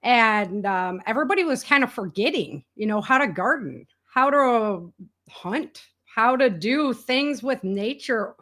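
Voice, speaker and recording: A woman's voice: on the high side, expressive, measured pace, fairly bright tone. A woman with an American accent chatting casually as a guest, telling stories in a candid way with everyday slang. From rural United States. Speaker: guest, indie author and entrepreneur. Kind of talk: casual conversational storytelling.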